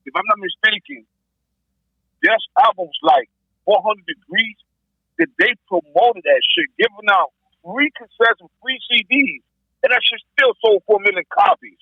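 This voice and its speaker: A man on a podcast panel with a raspy voice, arguing casually about music in an animated, slangy way. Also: high-pitched, moderate pace, no room sound, lo-fi sound. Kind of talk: casual debate about hip hop.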